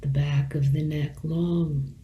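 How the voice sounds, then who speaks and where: A woman teacher leading a guided meditation, speaking gently in measured phrases with long pauses between them. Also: low voice, fairly even intonation.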